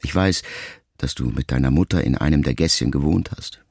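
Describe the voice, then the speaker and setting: A man reading a letter aloud as an audiobook, in a measured, reflective way. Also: a bass voice, gravelly. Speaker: narrator. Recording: loud music underneath.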